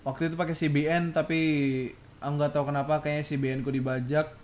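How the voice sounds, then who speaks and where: A man's voice: a little high, little pitch movement, quick pace, a little mellow. A young man chatting casually as a podcast host, slangy and joking, on a noisy recording. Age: young adult. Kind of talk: casual chat.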